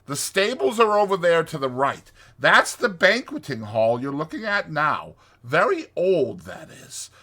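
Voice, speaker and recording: Man voicing a high, cheery storybook water rat. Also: pushed and bright, heavily acted. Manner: hearty, emphatic, chummy. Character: good-natured, chatty, cheerful male water rat. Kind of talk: dramatized storybook reading.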